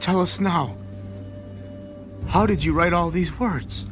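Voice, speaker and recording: Alarmed court official, audio Bible drama, higher male register, deliberate pace, intent questioning, light music.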